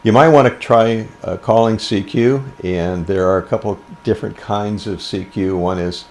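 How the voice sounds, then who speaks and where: An older American man giving an informal explanatory tutorial as an instructor, unhurried, with halting pauses. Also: lowish voice, steady tone, a little mellow, a little hiss or hum. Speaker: ham radio instructor.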